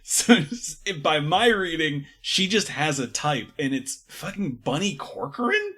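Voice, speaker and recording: A man's voice: fairly high pitch, very animated, unhurried, fairly bright tone, breathy edge. A man talking effusively and casually about books as a podcast guest, fond of hyperbole. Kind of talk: casual book discussion.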